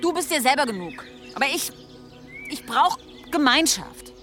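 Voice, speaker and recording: An actress playing a determined outsider wife, emotional and insistent, in a German radio drama. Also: female voice, sits high, sing-song, moderate pace, music in the background. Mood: pleading. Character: determined, passionate outsider wife in an old village. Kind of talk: German radio play acting.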